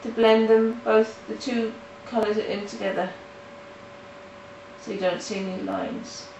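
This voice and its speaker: Nasal woman, halting casual tutorial talk, roomy sound, mic set back. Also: on the high side, fairly even intonation, creaky, dull audio. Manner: halting, with restarts and fillers. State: nasal from hay fever. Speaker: art tutorial host. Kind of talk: casual step-by-step tutorial narration. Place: home in the countryside. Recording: roomy, mic at a distance, faint noise.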